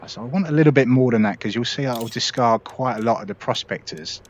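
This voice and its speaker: A man hosting a casual gameplay tutorial, relaxed and explanatory, talking viewers through each step. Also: mid-range voice, fairly quick. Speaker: gaming video host.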